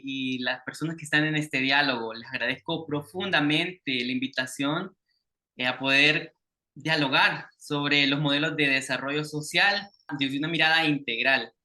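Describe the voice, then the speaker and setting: A man's voice: a little high, bright, forceful tone. A man from El Salvador with a Salvadoran Spanish accent, giving a formal, earnest prepared presentation as an invited speaker over a video call.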